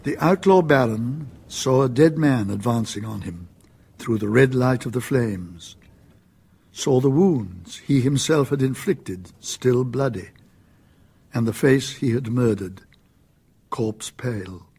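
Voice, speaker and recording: Gravelly male radio drama narrator. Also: medium pitch, animated, slow, darkish tone. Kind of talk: scripted dramatic storytelling for radio.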